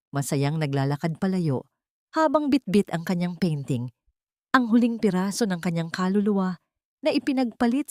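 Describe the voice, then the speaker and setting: A woman's voice: fairly low pitch, no room sound, clean studio sound. A woman reading a scripted, dramatic story as an audio drama narrator.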